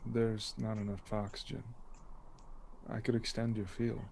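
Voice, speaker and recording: A man playing a serene, detached superhuman being who speaks calmly and without emotion in a drama. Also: fairly low pitch, creaky, a little hiss or hum. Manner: calm, emotionless, measured. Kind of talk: drama dialogue.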